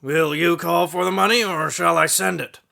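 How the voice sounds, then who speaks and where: Man playing a brusque editor, bright and creaky. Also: fairly high pitch, steady tone, normal speed, heavily acted. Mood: brusque, businesslike. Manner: curt, matter-of-fact, dismissive. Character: brusque, businesslike male newspaper editor. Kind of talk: audio drama acting.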